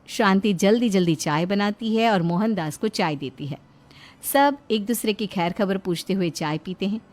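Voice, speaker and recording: A woman narrating an animated moral story in an expressive storytelling style. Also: mid-range voice, normal speed.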